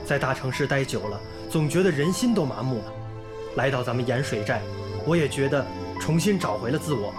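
An actor playing an earnest, idealistic young volunteer teacher in a radio drama, polite and sincere in manner. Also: male voice, mid-range voice, expressive, fairly quick, close to an everyday voice. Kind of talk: radio drama acting and narration. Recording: music bed under the voice.

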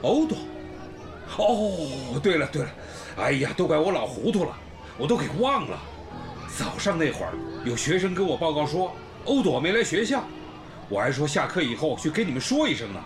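An actor playing a genial, forgetful older village school principal in a radio drama, hearty and folksy. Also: male voice, fairly high pitch, very animated, moderate pace. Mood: flustered, apologetic. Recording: music behind the voice.

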